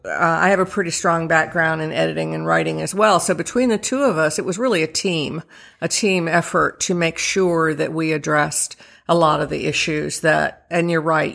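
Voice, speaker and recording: Woman, 60s, author guest on radio; low, gravelly, hesitant. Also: fairly even intonation, moderate pace, fairly bright tone. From Virginia. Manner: thoughtful, hesitant with many fillers. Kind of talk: radio interview.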